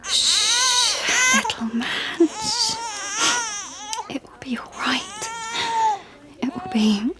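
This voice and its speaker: Earnest young mother, quietly regretful. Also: extremely high pitch, sing-song, extremely slow, long pauses, very noisy, heavily acted. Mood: tearful, soothing. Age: young adult. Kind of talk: audio play monologue.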